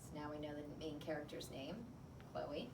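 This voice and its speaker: A woman with a lowish voice reads a children's story as a teacher would, drifting into chatty, filler-sprinkled reflection. She is picked up from a distance in an echoey room, with clear noise behind her. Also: flat delivery, moderate pace. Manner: conversational, thinking out loud with filler words. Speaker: teacher reading aloud to a class. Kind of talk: picture book read-aloud with think-aloud commentary. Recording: roomy, distant mic, clear background noise.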